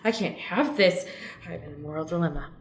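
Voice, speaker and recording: A woman's voice: sits low, brisk, reverberant, a little hiss or hum. A woman playing a morally troubled monk out exploring the world, in an improvised radio play. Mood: troubled, accusing.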